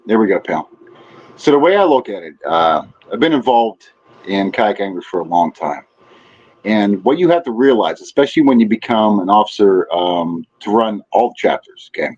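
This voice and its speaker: A man's voice: lowish voice, expressive, normal speed, darkish tone, dull audio. A man speaking as a podcast guest, explaining things casually in an earnest, emphatic way.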